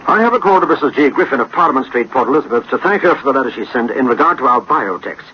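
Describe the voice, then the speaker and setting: A man with a rough, husky voice delivering courteous, formal 1960s radio advertising. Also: mid-range voice, expressive, rapid-fire, a little hiss or hum. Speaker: sponsor presenter. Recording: dull vintage radio recording.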